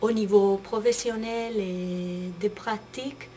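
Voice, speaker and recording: A young woman student is interviewed for a documentary. She speaks in a measured way and hesitates now and then. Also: mid-range voice, steady tone, mellow.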